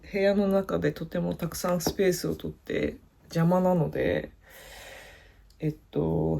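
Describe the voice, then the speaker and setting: A woman's voice: lowish voice, creaky, dark tone. A young woman with a Japanese accent, a language teacher chatting casually in a vlog, hesitant and self-deprecating. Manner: hesitant, self-deprecating, full of "like" and "I don't know".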